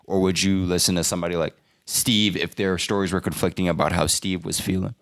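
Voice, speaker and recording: A man's voice: deep voice, fairly even intonation, a little fast, a bit bright, dry recording, clean studio sound. A man, co-host, asking questions in a casual, jokey way.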